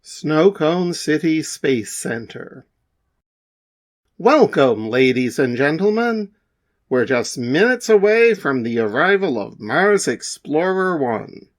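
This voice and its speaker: A man doing an excitable space center announcer. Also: a little high, slow. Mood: excited. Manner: broadcast announcer delivery.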